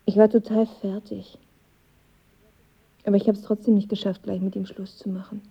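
An actress playing a guarded, vulnerable young woman in a radio drama, confiding in a hushed, breathy voice. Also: medium pitch, flat delivery, moderate pace, muffled recording. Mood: hurt, confiding. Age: young adult. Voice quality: breathy, husky.

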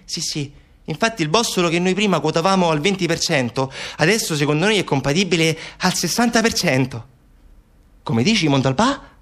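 Guy doing a shifty official, kinda high voice, sarcastic, chatty theatrical asides like he's arguing with someone. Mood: exasperated, defensive. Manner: colloquial, sarcastic, animated rhetorical asides. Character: evasive southern Italian official mockingly defending dubious forensic experts. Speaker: stage actor. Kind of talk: theatrical satirical monologue, one side of a conversation.

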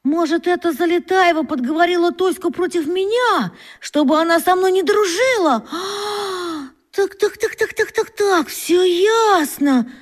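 A woman's voice: very high voice, measured pace. A woman acting a huffy, jealous schoolboy in a children's radio play, indignant and sarcastic. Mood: suspicious. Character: huffy, jealous schoolboy, quick to take offence.

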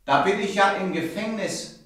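A boyish, naive puppet-show hero with a very high, bright voice. He is boisterous and eager, full of comic exclamations in a children's radio play. The sound is strongly echoing. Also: normal speed. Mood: puzzled. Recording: echoey, distant radio studio sound.

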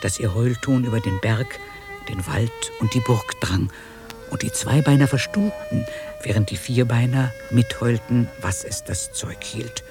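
A man narrating a radio drama in a measured, literary storytelling manner. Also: medium pitch, music in the background.

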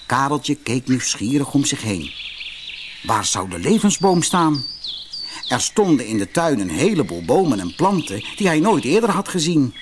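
A man narrating a fairy tale for children as an expressive storyteller. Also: medium pitch, normal speed, background noise.

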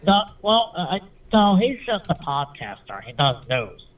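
A man calling in to a show, speaking in a nasal voice with a halting delivery. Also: on the high side, very animated, distant mic. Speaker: caller. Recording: tinny phone line.

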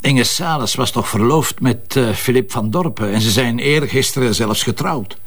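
A man's voice: medium pitch, normal speed, close to an everyday voice. A man with a Flemish accent playing a polite, sceptical police commissioner who questions formally in an audio drama. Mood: probing. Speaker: actor.

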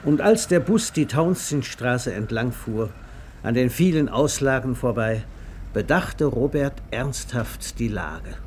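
A man's voice: mid-range voice, animated, takes it slowly, darkish tone, slight background noise. A man narrating a literary radio play in a scripted storytelling style.

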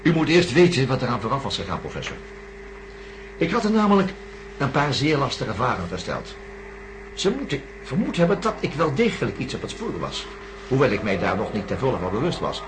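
A man's voice: medium pitch, huge pitch swings, a little fast. An actor playing a doctor in a radio drama, patiently laying out his theory in an earnest, explanatory way. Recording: noisy radio play recording.

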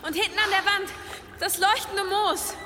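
An actress playing a plucky, excitable young girl in a medieval fantasy radio play. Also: very high-pitched, normal speed, slight background noise. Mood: eager, teasing. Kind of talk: German radio play acting. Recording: echoing cave reverb, loud music underneath.

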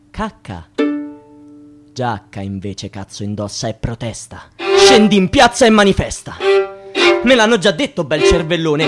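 An actor playing a frustrated, sardonic young student in a rhythmic, declamatory radio-drama monologue that moves between muttering and cries. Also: male voice, on the high side. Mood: hushed, bitter, then mocking, defiant. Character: frustrated, bitterly sardonic young male student railing at the system. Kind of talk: theatrical spoken-word monologue with wordplay and repetition. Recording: loud string music behind the voice. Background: bowed string music.

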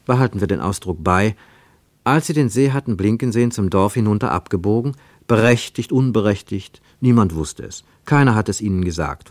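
A man reads literary prose for radio as a narrator, with deliberate, emphatic phrasing and dramatic pauses. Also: fairly low pitch, a little mellow, no room sound.

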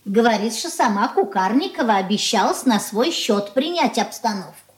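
A woman's voice: a little high, lively intonation, normal speed, lightly acted. An actress playing an anxious, submissive old mother in a radio play, speaking in a folksy, plaintive, confiding way. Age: older.

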